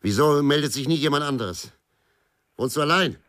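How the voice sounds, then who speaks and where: An actor playing a stubborn, embittered, hard-drinking former policeman in a radio crime drama, blunt and confrontational, with a husky, gravelly voice. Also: on the high side, expressive, normal speed, fairly bright tone. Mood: aggressive, agitated.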